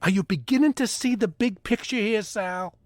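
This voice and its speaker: A man's voice: high-pitched, moderate pace, no room sound, clean studio sound, natural voice. A man playing a bossy retired mobster, precise, sardonic and menacingly polite, in a comedy audio drama. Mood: sarcastic, incredulous. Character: bossy retired Italian-American mobster, exasperated and formal.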